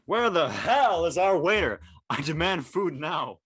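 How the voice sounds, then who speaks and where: A man playing a brash, sarcastic and impatient high school student in a drama read. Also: very animated, measured pace, a bit bright, slightly rough, full character voice. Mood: demanding. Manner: blunt, sarcastic.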